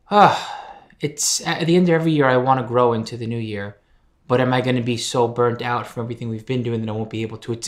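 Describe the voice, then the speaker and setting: A man co-hosts a podcast in a loose, playful way. His voice sits at a middle pitch, and he jokes about himself. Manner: playful, jokey, self-mocking. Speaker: podcast co-host. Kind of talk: casual podcast chat.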